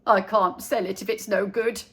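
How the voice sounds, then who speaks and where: A woman's voice: medium pitch, fairly even intonation, moderate pace, a bit bright, breathy edge. A woman voicing a hearty, good-natured man selling homemade relish in a storybook, friendly and plain-spoken.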